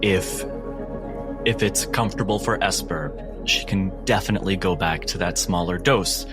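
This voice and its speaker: A man playing a courteous, soft-spoken asylum doctor with a measured, clinical and quietly menacing manner in a tabletop horror game. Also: deep voice, music in the background, close to an everyday voice. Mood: polite, clinical.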